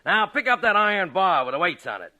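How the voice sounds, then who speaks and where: An actor playing a brusque, bossy gym trainer in 1940s radio comedy, barking out emphatic instructions. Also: male voice, sits high, sing-song, quick pace, bright, forceful tone, heavily acted. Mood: brisk, sardonic.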